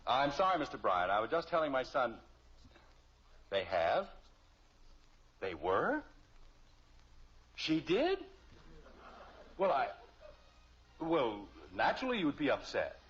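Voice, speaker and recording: A man's voice: medium pitch, huge pitch swings, takes it slowly, pauses often, fairly bright tone, heavily acted. A man playing an exasperated, easily flustered middle-aged suburban father in 1950s radio comedy style, sputtering and sarcastic. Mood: apologetic. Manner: sputtering, sarcastic, long-suffering. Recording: muffled old radio recording, faint hiss.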